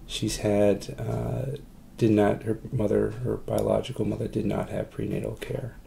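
A man in his forties reflects in a documentary interview, pausing and searching for words. His voice is low and dark, with a heavy creak. There is slight noise in the room behind him. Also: level tone. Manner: halting, hesitant delivery with long pauses. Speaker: adoptive parent, interviewee. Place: room at home, indoors.

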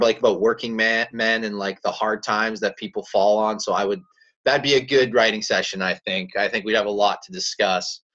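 A raspy young man from Canada talks in a fairly low voice, relaxed and rambling as he answers questions. The sound is muffled and lo-fi, as if carried over a video call from a small room at home. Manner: relaxed, rambling, laughs easily. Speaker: country singer, guest. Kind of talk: casual interview chat. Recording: dull lo-fi video call audio.

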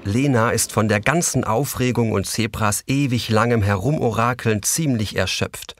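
A male narrator tells a scripted children's story. His voice sits fairly low, in the manner of an audio drama storyteller. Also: moderate pace, no echo.

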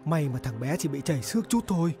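A kindly elder pond creature in an animated film. The voice sits low and moves expressively, advising and gently chiding over a music bed. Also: female voice, quick pace. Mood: concerned. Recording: music under the voice.

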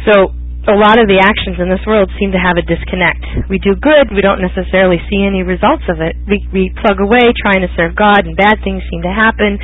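A man's voice: high voice, brisk, soft background music. A man teaching a casual online religious study class, rambling and often restarting his phrases. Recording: dry, phone-like online voice chat.

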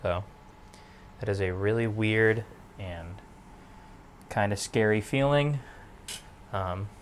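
A young man in his twenties, losing his voice, rambling in a relaxed way as host of his own podcast from his bed at home. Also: lowish voice, a little hiss or hum. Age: 20s. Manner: relaxed, meandering, many fillers. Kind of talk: casual solo podcast monologue.

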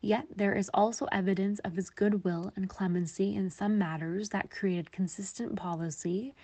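Mellow woman reading scholarly text, close and dry. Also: medium pitch, dry recording. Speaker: reader of quoted passage.

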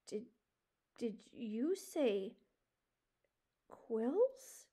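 A small, earnest girl mouse from a storybook, voiced by a woman. She speaks high and timidly, with a pleading, faltering delivery. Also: very animated, unhurried. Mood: fearful. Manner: faint, stammering, pleading. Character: timid, polite young female deer mouse, frightened but earnest. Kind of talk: storybook character reading.

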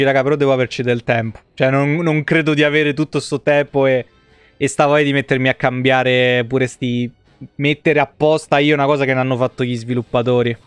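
Clear-voiced guy streaming a game. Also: mid-range voice, no room sound. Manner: blunt, sweary, grumbling. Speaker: live streamer. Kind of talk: casual live game commentary, talking to chat. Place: indoor room at home, streaming setup. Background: video game music.

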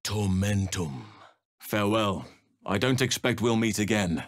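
A man playing a wise, gentle druid in a video game, speaking in a measured, formal and earnest way. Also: on the low side, expressive, no room sound, clean studio sound. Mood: intense incantation. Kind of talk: video game character acting.

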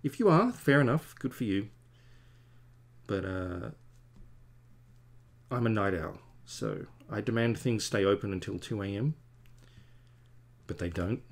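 A man's voice: fairly low pitch, lively intonation, unhurried, creaky, pauses often. A man in his forties, a comic artist chatting in a rambling, self-deprecating way to stream viewers while he draws at home. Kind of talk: rambling casual chat while drawing. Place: home studio at a drawing desk.

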